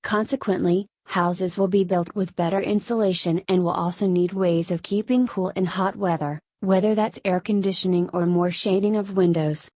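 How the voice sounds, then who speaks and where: A woman narrates scripted practice passages in a measured, formal way. Her voice sits fairly low and is very mellow and dark. The sound is dry, with little room in it. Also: steady tone, no echo. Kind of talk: scripted read-aloud narration.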